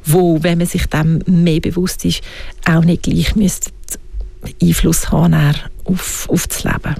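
A woman's voice: on the low side, flat delivery, a little slow, gravelly, dark tone, breathy edge, no room sound. A woman with a Swiss German accent talking in a reflective interview, often asking herself questions aloud. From Switzerland. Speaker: guest. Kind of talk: reflective conversation.

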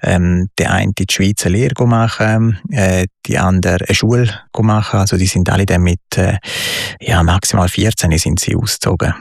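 A man's voice: low-pitched, steady tone, normal speed, vocal fry, dry recording, clean studio sound. A man with a Swiss German accent, a guest telling his life story in an interview, thoughtful and hesitant with many fillers. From Switzerland.

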